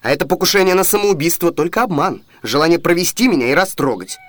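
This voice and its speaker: A man's voice: on the high side, lively intonation, quick pace, a bit bright. An actor playing a bitter, jealous young man in a classic comedy radio play, with theatrical, declamatory delivery. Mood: brooding, vengeful. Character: jealous young male lover, bitter and wounded.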